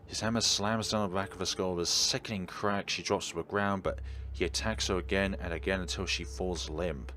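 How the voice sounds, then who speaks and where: A man's voice: deep voice, fairly even intonation, brisk, pushed and bright. A man reading a visual novel aloud as a let's player, dropping in wry, casual commentary. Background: game music under the voice.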